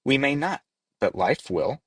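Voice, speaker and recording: A man reading as a wise, husky, matter-of-fact leaf. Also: mid-range voice, expressive, unhurried, no room sound, voice pushed up. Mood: calm, reassuring. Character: wise, calm, reassuring male tree leaf. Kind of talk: storybook read-aloud.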